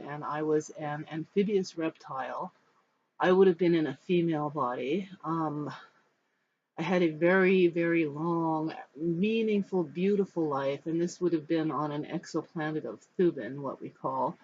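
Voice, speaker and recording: An American in their fifties from Los Angeles, giving a personal talk to camera in a reflective, halting, rambling manner. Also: mid-range voice. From Los Angeles, United States. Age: 50s. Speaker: spiritual storyteller. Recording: dull, muffled sound with background noise.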